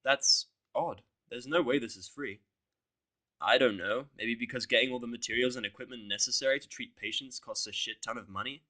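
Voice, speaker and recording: A man's voice: mid-range voice, brisk, sharp tone. Man acting out a surly, sarcastic human. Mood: grudging, sarcastic. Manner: blunt, sarcastic, grumbling. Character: surly, foul-mouthed, cynical human in pony world.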